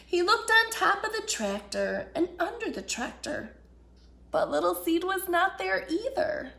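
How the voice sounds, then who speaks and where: A woman reading a picture book aloud to children as an expressive storyteller. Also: very high-pitched, a little slow, gravelly. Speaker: children's storyteller, children's ministry director.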